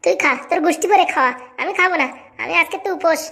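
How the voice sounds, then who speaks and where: Casting: woman as quarrelsome young cartoon wife, very high voice, rapid combative scolding, rural Bengali accent. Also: bright, forceful tone, heavily acted. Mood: angry, sulky. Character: sharp-tongued, quarrelsome young village wife. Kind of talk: comic cartoon dialogue in village dialect.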